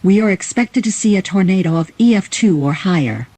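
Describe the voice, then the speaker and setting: A man's voice: high voice, expressive, fairly quick, very noisy. A man playing a local TV weather channel presenter, giving informal, explanatory live coverage commentary. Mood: concerned, explanatory.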